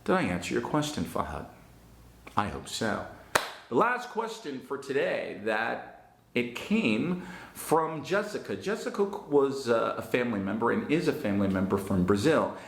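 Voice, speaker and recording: A man with a Northern New Jersey accent, giving an online English lesson in a slow, emphatic and encouraging way. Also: mid-range voice, sing-song, vocal fry, echoey room, a little hiss or hum. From United States. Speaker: English teacher.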